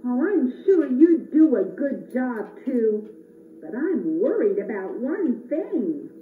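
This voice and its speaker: A woman's voice: on the high side, sing-song, takes it slowly. A woman voicing an earnest, worried, kindly Christmas elf in a children's puppet show. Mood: businesslike, kindly. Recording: echoey, tinny, distant camera, faint noise.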